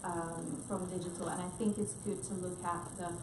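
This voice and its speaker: A woman's voice: lowish voice, little pitch movement, moderate pace. Hesitant woman on an echoey panel. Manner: thoughtful, hesitant, many restarts. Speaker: panelist researcher. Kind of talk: panel discussion remarks. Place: conference room, panel event. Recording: echoey room with noise.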